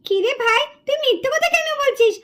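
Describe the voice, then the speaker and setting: A woman's voice: extremely high pitch, animated, fairly quick, fairly bright tone, slight background noise. A woman voicing a young girl, an older sister who whines and pleads. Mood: pleading. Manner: whining, pleading.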